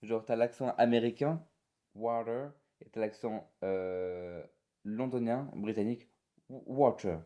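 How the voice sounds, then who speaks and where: A man's voice: medium pitch, measured pace, dark tone. A young man co-hosting a casual podcast, goofy and self-mocking, laughing easily at himself. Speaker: podcast co-host. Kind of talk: casual chat.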